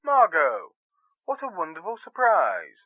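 A man's voice: sits high, wildly swooping pitch, unhurried, dry recording, phone-quality sound, full character voice. An actor playing a brash, affectionate theatre man in a radio drama, bantering and teasing his sweetheart. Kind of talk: dramatic radio play acting.

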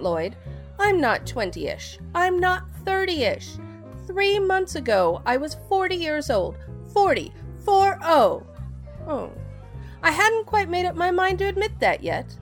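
Woman acting a high-voiced, biting, proud stage diva. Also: big pitch swings, measured pace, faint music behind, full character voice. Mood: bitter, wounded. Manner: sardonic, dramatic delivery. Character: proud, biting forty-year-old stage actress, wounded and theatrical. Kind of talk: audio drama acting.

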